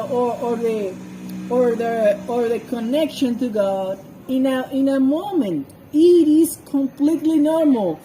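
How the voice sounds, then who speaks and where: A woman who is not a native English speaker, giving informal Bible commentary with personal stories in a halting way, searching for words. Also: high-pitched, mellow, slight background noise, rough recording. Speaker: scripture reader and commentator.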